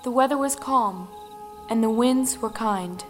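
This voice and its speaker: A woman's voice: fairly high pitch, a little slow. A woman telling a folktale as dramatic audio play narration. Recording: reverberant, faint music underneath.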